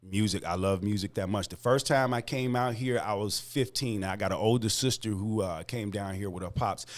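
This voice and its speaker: A man's voice: on the low side, normal speed. An American man from Chicago speaking as a music artist guest in a casual interview, slangy and emphatic. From Chicago, United States.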